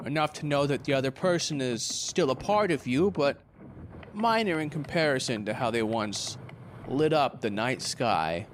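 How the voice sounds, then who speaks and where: A man's voice: mid-range voice, animated, normal speed, natural voice. A man acting in a conversational stage drama, playing a glib, crude, wounded ex-lover who rambles and needles. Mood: glib, rambling. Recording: faint background noise.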